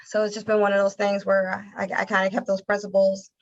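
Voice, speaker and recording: American woman with narrow, even intonation. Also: medium pitch, brisk. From Philadelphia, United States. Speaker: interview guest, nonprofit communications director. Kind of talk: conversational interview answers.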